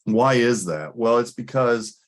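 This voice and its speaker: A man giving a scholarly conference presentation, explaining ideas in a hesitant, pausing way. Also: medium pitch, animated. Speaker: academic presenter.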